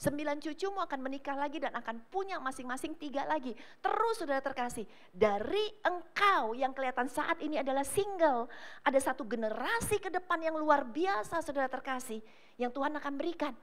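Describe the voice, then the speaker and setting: High-voiced woman preaching emphatically. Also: lively intonation, brisk. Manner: emphatic preaching, often addressing listeners as brothers and sisters; swings between loud declarations and low, soft asides. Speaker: pastor. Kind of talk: sermon. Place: church service.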